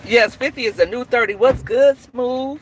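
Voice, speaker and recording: A woman's voice: a little high, moderate pace, slight background noise. A woman in her forties chatting casually on a livestream, laughing often and talking to viewers by name. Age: 40s. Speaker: livestream host. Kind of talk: casual live chat.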